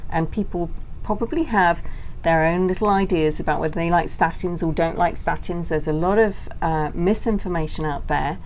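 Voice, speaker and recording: A woman's voice: deep voice, a little mellow, a little hiss or hum, rough recording. A middle-aged British woman talking as an interview guest, explaining things informally in long, self-interrupting sentences.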